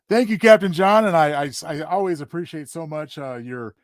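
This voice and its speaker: A man co-hosting a casual show, speaking in a halting, courteous way. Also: a little high, no room sound, studio quality. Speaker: co-host. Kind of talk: casual chat.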